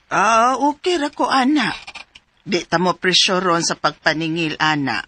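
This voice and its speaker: A woman's voice: fairly low pitch, very animated, measured pace, sharp tone, exaggerated voice. A woman with a Cebuano Filipino accent acting a cautious older mother in a radio drama. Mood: cautious, warning.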